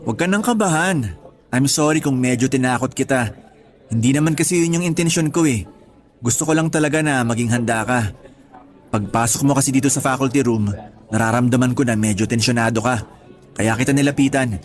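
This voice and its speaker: Low-voiced, easygoing young male teacher played by an actor. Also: brisk, close to an everyday voice. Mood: reassuring, friendly. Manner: easygoing, casual, reassuring, with light teasing. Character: friendly young male schoolteacher, teasing and reassuring colleague. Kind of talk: conversational Tagalog radio drama acting.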